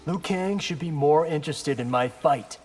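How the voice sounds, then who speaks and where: Defiant young warrior, reverberant. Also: male voice, on the high side, moderate pace, a little mellow, slightly rough. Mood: defiant, wry. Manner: dry, defiant, self-assured. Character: defiant, wry young male crime-fighting warrior. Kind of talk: fighting game dialogue acting.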